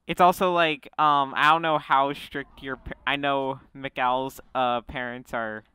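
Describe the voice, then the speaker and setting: A man's voice: mid-range voice, fairly even intonation, measured pace, bright, forceful tone, clear, resonant tone, no echo. A young man hosting a casual group podcast on a call, rambling with lots of fillers. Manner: rambling, many fillers and restarts. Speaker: podcast host.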